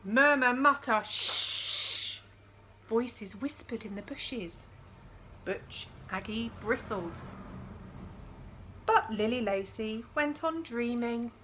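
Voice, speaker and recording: A woman with a bright, fairly high voice reads a children's story at a very unhurried pace. She is expressive with sound words and speaks directly to young listeners, with noticeable background noise. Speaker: storyteller reading a picture book aloud. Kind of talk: expressive children's read-aloud with chatty asides.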